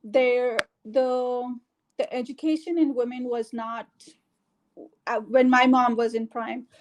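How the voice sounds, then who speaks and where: A woman's voice: on the high side, fairly even intonation, takes it slowly, low-quality recording. A middle-aged woman from India with an Indian English accent, telling her life story in an interview, with many fillers and restarts. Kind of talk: casual conversational interview.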